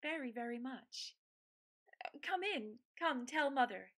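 A woman playing a gentle eldest sister who speaks warmly and earnestly. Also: high-pitched, animated, unhurried, exaggerated voice.

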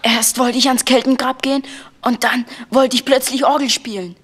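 Frau als sehnsüchtiges junges Kind, hoch und hallig. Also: female voice, high voice, fairly even intonation, normal speed, in a large hall, background noise, close to an everyday voice. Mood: wistful. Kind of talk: vintage German radio crime drama acting.

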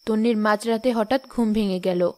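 A woman's voice: a little high, steady tone, a little fast, dark tone, soft background music. A woman giving scripted narration of a story.

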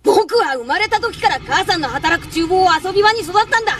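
A woman's voice: very high voice, lively intonation, quick pace, distant mic, noisy background. A woman voicing an earnest, defiant young boy cook in a Japanese anime, speaking with fervent emphasis.

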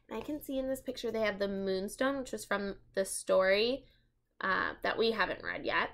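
A young adult woman reading a children's book aloud in an expressive, animated manner, talking directly to her young listeners. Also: medium pitch, gravelly. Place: small furnished room at home.

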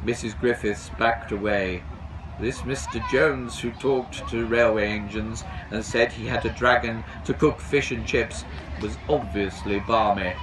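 A man's voice: fairly low pitch, steady tone, unhurried, background noise. A middle-aged man reading a children's storybook aloud outdoors. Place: on a bench outdoors. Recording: outdoors with background bustle.

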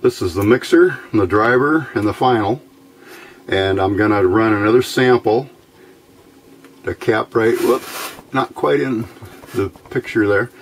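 Male, middle-aged, lowish voice. Hobbyist builder talking through hands-on work informally, no rush. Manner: informal, unhurried, explaining step by step. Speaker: amateur radio builder presenting a project. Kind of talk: casual hands-on electronics demonstration. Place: indoor workbench.

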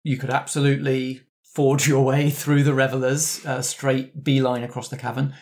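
A man narrates a tabletop roleplaying game as its game master. His delivery is conversational and descriptive, at a middle pitch. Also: level tone, normal speed, a little mellow.

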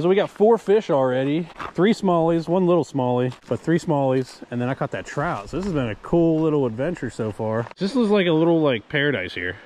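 A man chatting casually and excitably in slang as he hosts a fishing vlog outdoors on an action camera. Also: mid-range voice. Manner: excitable, slangy, says dude and man often. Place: outdoors at a wooded creek. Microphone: action camera worn or held by him. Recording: outdoor recording with background noise.

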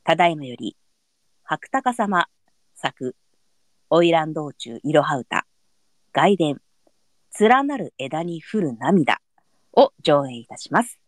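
Polite, expressive woman hosting a livestream. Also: low-pitched, takes it slowly, pauses often, no room sound. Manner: courteous, formal phrasing. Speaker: host and theatre announcer.

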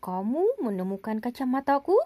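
Woman voicing a flustered, excitable storybook man, very high. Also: normal speed, dry recording. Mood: puzzled. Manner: dramatic, exclamatory, bewildered. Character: forgetful, excitable, easily confused man in a storybook.

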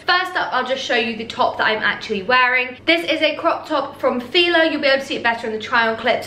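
Bright-voiced young woman vlogging. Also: fairly high pitch, roomy sound, slight background noise. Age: young adult. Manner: upbeat, chatty, emphatic repetitions. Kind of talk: casual haul vlog to camera. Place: bedroom. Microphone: camera in front, ring light.

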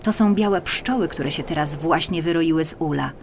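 A woman voicing an old grandmother who tells of wonders in a gentle, measured, mysterious way. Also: sits low, a little hiss or hum.

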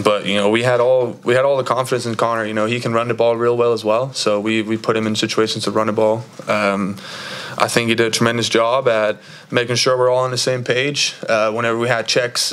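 A man in his early twenties, a college football player giving earnest, measured answers at a press conference. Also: on the low side, a bit bright, clear, resonant tone. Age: early 20s. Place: indoor press conference room. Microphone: tabletop microphone.

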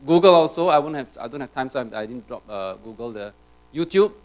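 A man's voice: medium pitch, lively intonation. A man giving a conference talk in a large auditorium, peppering his points with "right?" and restarting his sentences. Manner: rapid, tag questions, frequent restarts. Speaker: conference speaker.

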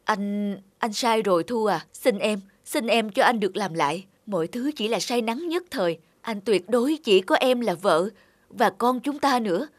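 Guilty, pleading cartoon husband. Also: male voice, high-pitched, animated, normal speed, dry recording. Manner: contrite, imploring. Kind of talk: cartoon drama dialogue.

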